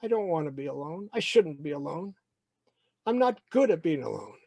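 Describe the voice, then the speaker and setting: A man performs a lonely, anxious boy of twelve in a live-storytelling style. The voice sits fairly high and the recording is dry. The words come in halting, repeated little phrases, as if pleading with himself. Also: expressive, gravelly, a little mellow, no room sound. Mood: anxious, wistful. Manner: halting, repetitive inner monologue. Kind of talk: first-person present-tense storytelling.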